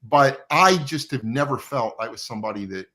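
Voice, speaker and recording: Older-ish guy chatting casually as an interview guest, rambly storyteller, medium pitch. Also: moderate pace, sharp tone. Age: middle-aged or older. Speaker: comic book artist and interview guest. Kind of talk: casual reminiscing interview chat.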